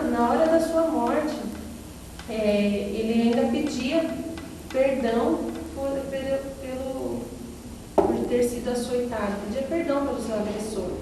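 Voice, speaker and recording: A woman with a Brazilian accent telling a life story informally, as an interviewee giving testimony. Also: a little high. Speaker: interviewee recounting a saint's life. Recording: very echoey noisy hall, distant mic.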